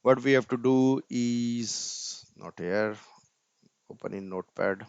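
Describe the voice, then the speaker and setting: A man's voice: medium pitch, slow pace, clean tone, no room sound. A man presenting an informal screen-share coding tutorial step by step, often tagging his sentences with "right?", on a dull computer mic.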